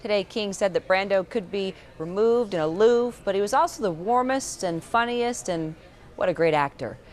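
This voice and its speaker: A woman's voice: mid-range voice, lively intonation, moderate pace. A woman presenting a scripted news item.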